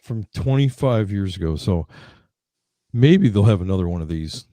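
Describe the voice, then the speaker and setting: Man near fifty, stream guest, low deep voice, halting speech, dry close sound. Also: animated, mellow, dry recording. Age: 40s. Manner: halting, many fillers and restarts. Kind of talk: casual live stream chat.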